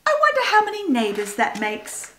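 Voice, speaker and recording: A woman's voice: extremely high pitch, huge pitch swings, normal speed, bright, forceful tone, slight background noise, voice pushed up, exaggerated voice. A woman voicing a sly, greedy little male sugar glider who chuckles to himself. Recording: roomy echo.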